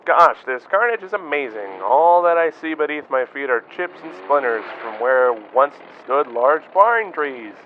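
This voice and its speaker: A man doing an excitable radio-play field reporter with a fairly high voice, firing off questions over a thin, tinny line. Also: unhurried, hardly any pauses, slight background noise. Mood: excited. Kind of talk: amateur radio drama acting.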